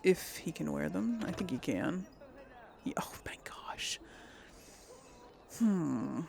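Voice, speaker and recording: A woman's voice: fairly low pitch, animated, takes it slowly, vocal fry, darkish tone, faint music behind. A woman hosting a casual gaming video, chatting and thinking aloud as she role-plays. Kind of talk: casual role-play game commentary.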